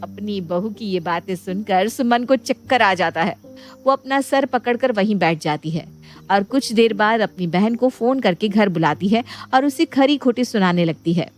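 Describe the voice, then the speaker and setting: A woman's voice: mid-range voice, expressive, normal speed. A woman storyteller reading scripted narration for a Hindi moral bedtime story. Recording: music bed behind the voice.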